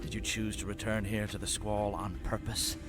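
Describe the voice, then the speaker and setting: An actor playing an arrogant, scheming villain who would rule the galaxy, commanding and pompous, in a science fiction audio drama. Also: male voice, lowish voice, flat delivery, normal speed, close to an everyday voice. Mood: smug, amused. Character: arrogant, scheming male villain, self-declared galactic ruler. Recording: loud music under the voice.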